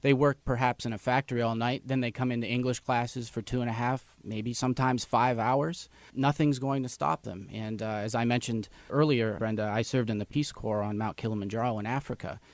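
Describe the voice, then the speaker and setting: A man's voice: medium pitch, fairly even intonation, a little fast, few pauses, dry recording. Earnest American man, dry studio sound. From United States, Erie. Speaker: interview guest, English teacher. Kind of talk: radio interview conversation.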